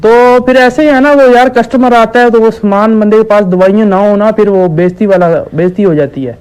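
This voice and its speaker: Casting: a man acting a dim, persistent village shopkeeper for a comic prank call; high, smooth voice, deadpan and halting. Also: close to an everyday voice, rough recording. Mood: earnest, dim. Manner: slow-witted, hesitant, naively persistent. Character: dim, stubborn village shopkeeper ordering absurd medicine quantities. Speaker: prank caller.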